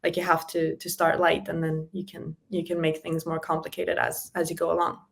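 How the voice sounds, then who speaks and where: Low gravelly woman giving tips. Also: completely flat delivery, fairly quick. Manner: explanatory, with small laughs between points. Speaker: guest, communication specialist. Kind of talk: casual interview chat giving practical tips.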